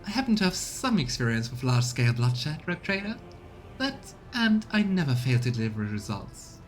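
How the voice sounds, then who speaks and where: A man's voice: sing-song, a little fast, faint music behind, natural voice. A man voicing an arrogant female inquisition agent in a video game, haughty, formal and condescending. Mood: cool, superior. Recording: rumbly background noise.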